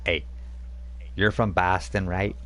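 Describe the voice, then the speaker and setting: A man putting on a deep-voiced Brooklyn wise guy shaman. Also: fairly even intonation, fairly bright tone. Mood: brash. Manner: pushy, hurried, street-talking swagger. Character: brash New York wise guy shaman, male, adult.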